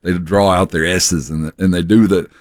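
A man from Texas co-hosting a radio talk show, chatting casually and telling stories in an animated way. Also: deep voice, moderate pace, no echo, clean studio sound. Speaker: radio host.

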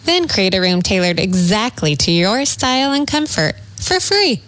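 A woman's voice: medium pitch, very animated, normal speed, fairly bright tone, no echo, poor audio. A woman reading a scripted commercial in a friendly, persuasive way. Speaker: commercial voice-over. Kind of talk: scripted ad read.

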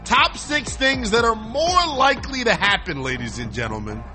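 A man's voice: high-pitched, normal speed, over music. A man hosting a sports talk radio show from a studio, joking and boasting in playful banter. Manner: jokey, boastful, animated.

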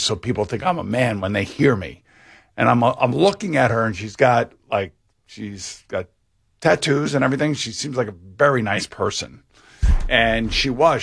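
Gravelly, husky male radio host. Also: mid-range voice, fairly bright tone. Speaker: radio talk show host. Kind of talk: casual radio chat, personal storytelling. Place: radio studio.

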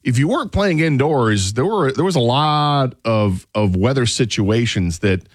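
A man's voice: medium pitch, animated, measured pace, husky, no echo, studio quality. A man co-hosting a sports talk show, chatting casually about football and sometimes acting out a coach. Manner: conversational, acts out coaches with a raised voice. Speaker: sports radio co-host.

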